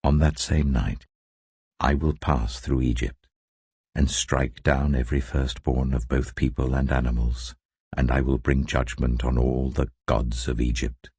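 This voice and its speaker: A man giving a scripted, solemn and deliberate reading of scripture as a narrator, with emphatic phrasing. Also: a bass voice, level tone, dark tone, husky, no echo. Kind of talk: scripted audio Bible reading.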